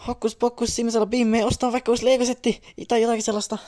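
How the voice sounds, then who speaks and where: A man voicing an excitable, theatrical young fairy in an amateur cartoon parody dub. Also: extremely high pitch, heavy background noise. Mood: dramatic.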